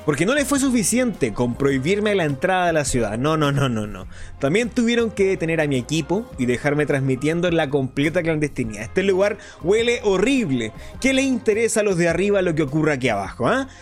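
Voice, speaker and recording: Man acting a theatrical rebel radio host, swooping pitch. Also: very animated, fairly quick, hardly any pauses, soft background music. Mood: mock-grateful, sardonic, then indignant, mocking. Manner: theatrical, ironic, grandiloquent. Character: flamboyant male underground radio host, sardonic, defiant rebel. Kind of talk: theatrical radio drama monologue.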